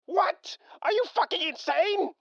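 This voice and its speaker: A man's voice: very high voice, a little slow, vocal fry, no room sound, heavily acted. A man playing a foul-mouthed, wary raider lieutenant who swears and argues hotly, in dull lo-fi sound. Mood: outraged.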